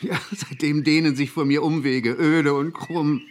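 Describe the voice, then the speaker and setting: A man's voice: on the high side, steady tone, a little slow, lightly acted. An actor playing an embittered, self-mocking man in a radio drama, dramatic and resigned. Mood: bitter resignation. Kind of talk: German radio drama monologue and dialogue.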